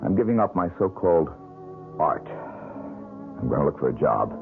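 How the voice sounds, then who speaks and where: An actor playing a proud, bitter, down-and-out young painter in a 1970s radio drama, speaking curtly. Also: male voice, rumbling low, sing-song, moderate pace, gravelly, over music, exaggerated voice. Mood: weary. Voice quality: bitter, curt. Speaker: struggling painter. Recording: tinny lo-fi old radio broadcast.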